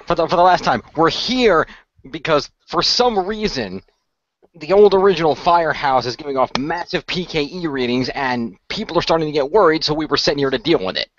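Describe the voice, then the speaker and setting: A man with a fairly high voice talks very quickly as he improvises in a role play. He takes charge in an exasperated, casual way, and the audio is a bit muffled. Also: expressive, fairly bright tone, no room sound. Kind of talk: improvised in-character dialogue, casual.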